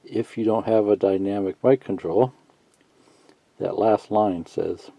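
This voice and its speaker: A man giving a casual, unscripted how-to tutorial, thinking out loud in a halting, folksy way and reading bits of instructions aloud. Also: lowish voice, fairly even intonation, vocal fry. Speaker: hobbyist instructor. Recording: muffled lo-fi sound, roomy echo.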